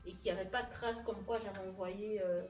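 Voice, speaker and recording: A woman's voice: mid-range voice, fairly even intonation, fairly quick, darkish tone. Woman storyteller in an echoey, distant recording. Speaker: interview guest. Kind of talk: casual personal storytelling. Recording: echoey room, distant mic, music underneath.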